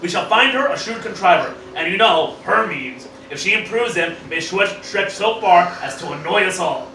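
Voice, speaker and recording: A man's voice: high-pitched, animated, moderate pace. A man playing a boisterous, scheming cartoon mad-scientist grandfather in a mock-Shakespearean radio play, theatrical and sometimes stumbling over lines. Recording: roomy, distant, noisy amateur group recording.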